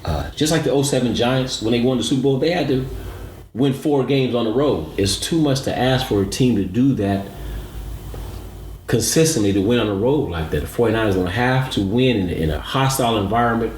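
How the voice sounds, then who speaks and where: A man's voice: medium pitch, expressive, a little fast, darkish tone. A man giving emphatic, analytical sports commentary as a show co-host, repeating points for emphasis. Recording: roomy echo, audible background noise.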